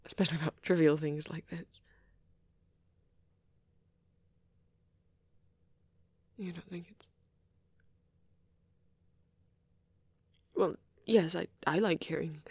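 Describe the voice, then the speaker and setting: A performer voicing a shy, bashful, nerdy young male vampire boyfriend in a soft, hesitant, rambling ASMR roleplay. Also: medium pitch, brisk, vocal fry, breathy edge, no echo. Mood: bashful, flustered. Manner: hesitant, stammering, apologetic, excitable when rambling.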